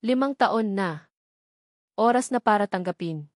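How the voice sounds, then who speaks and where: A woman's voice: medium pitch, lively intonation, measured pace, no room sound, clean studio sound, natural voice. A woman voicing a grieving, calculating man in an audio story, earnest and pleading as he feigns surrender. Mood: weary surrender.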